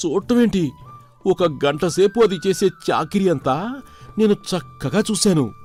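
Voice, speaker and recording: Man playing superstitious rustic manservant, high-pitched, excitable, exclamatory, comic storybook dialogue, soft music underneath. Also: unhurried. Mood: excited, incredulous. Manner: exclamatory, colloquial rustic phrasing.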